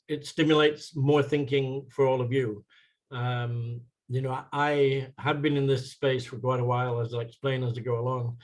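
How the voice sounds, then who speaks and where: An English man in his sixties, his accent now carrying a faint American tinge after decades abroad. He speaks at a measured pace over a video call mic, often pausing on ums and repeating words as he gathers his thoughts. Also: medium pitch. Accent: English with a slight American tinge. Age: 60s. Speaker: guest speaker, sustainability consultant. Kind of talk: online presentation talk.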